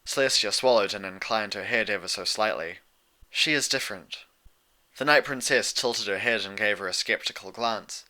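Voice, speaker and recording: A man's voice: fairly low pitch, a little fast, hardly any pauses, sharp tone. A man reading a scripted story aloud as its narrator. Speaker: fan fiction narrator.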